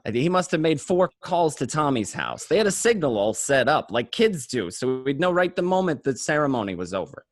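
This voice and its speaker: A man acting as an excitable mobster who tells his crime story conversationally, tripping over and repeating words. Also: on the high side, a little fast, few pauses, no echo, studio quality. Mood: excited, fond. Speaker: amateur actor in an online radio play. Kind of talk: first-person dramatic monologue, conversational.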